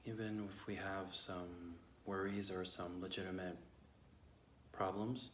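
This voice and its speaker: A man leading a guided meditation with gentle phrasing and long pauses. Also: low voice, level tone. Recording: roomy echo, clear background noise.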